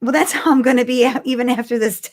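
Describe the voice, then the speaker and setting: A woman's voice: fairly high pitch, fairly even intonation, fast talker. A woman co-hosting a podcast in casual fan discussion, thinking aloud in a conversational, emphatic way. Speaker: podcast co-host.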